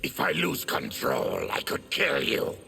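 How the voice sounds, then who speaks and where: An actor playing a bitter, diseased, monstrous former soldier in a fighting game, growling with grim menace. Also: male voice, mid-range voice, huge pitch swings, a little slow, sharp tone, heavily acted. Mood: menacing. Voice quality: gravelly, growling. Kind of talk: video game fight intro dialogue.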